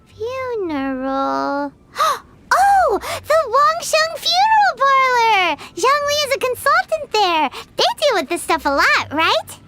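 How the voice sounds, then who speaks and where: A woman voicing a small, cheeky, excitable fairy companion in a game cutscene, with a high, squeaky voice. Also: slow, over music, full character voice. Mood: reactive.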